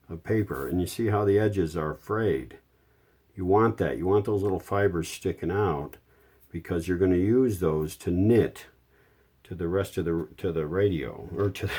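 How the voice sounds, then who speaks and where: A deep, mellow-voiced man explaining hands-on work. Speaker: radio repair hobbyist giving a tutorial. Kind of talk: casual hands-on how-to narration.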